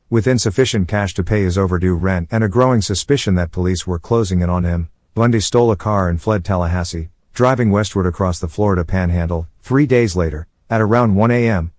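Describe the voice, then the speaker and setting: Low-voiced male documentary narrator. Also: a little mellow, no echo. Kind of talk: scripted documentary narration of true crime.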